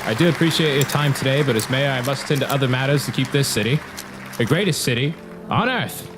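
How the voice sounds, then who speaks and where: An actor playing a cheerful, self-satisfied city mayor in an audio drama, speaking like a pompous, folksy politician. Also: male voice, medium pitch, animated, quick pace, background noise, natural voice. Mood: dismissive, reassuring. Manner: pompous, oratorical, smooth politician. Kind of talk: scripted audio drama acting. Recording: loud music behind the voice.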